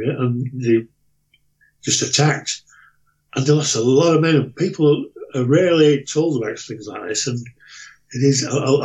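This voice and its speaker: A man's voice: medium pitch, a little mellow. Man on a dull lo-fi line. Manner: hesitant, rambling. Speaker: regular talk show guest or co-host. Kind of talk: casual conversational anecdotes. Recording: dull lo-fi voice connection.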